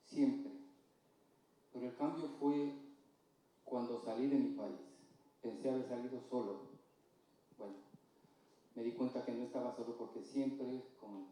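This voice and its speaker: A man's voice: medium pitch, fairly even intonation, warm tone, far from the mic. Ecuadorian man in an echoey church hall. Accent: Ecuadorian Spanish. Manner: slow, halting, earnest. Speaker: church member giving testimony. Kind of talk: personal testimony in church.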